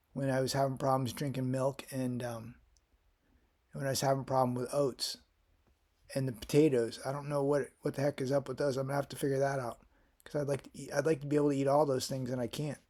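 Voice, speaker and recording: A man's voice: mid-range voice, a little mellow. A man in his fifties from Florida, talking through his health and family history in a casual vlog, rambling and often restarting his sentences. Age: 50s. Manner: rambling, with frequent restarts and fillers. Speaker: vlogger.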